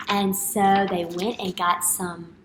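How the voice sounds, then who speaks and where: A woman in her thirties, an elementary teacher reading a picture book aloud and putting questions to young children in an expressive way. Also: mid-range voice, slow pace. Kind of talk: children's read-aloud and retelling lesson. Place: indoor classroom. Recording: clear background room noise.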